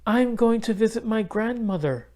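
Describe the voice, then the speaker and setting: A man's voice: high-pitched, animated, normal speed, darkish tone, pitched above the performer's own voice. A man voicing a polite, brave and clever young girl in a children's storybook read-aloud.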